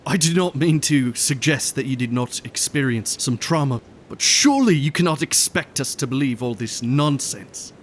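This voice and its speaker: An actor playing a grieving, disbelieving Victorian gentleman and devoted lover, emotional and indignant, in an audio drama. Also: mid-range voice, lively intonation, normal speed, hardly any pauses, a little hiss or hum, natural voice. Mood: scornful disbelief. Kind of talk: audio drama acting, period speech.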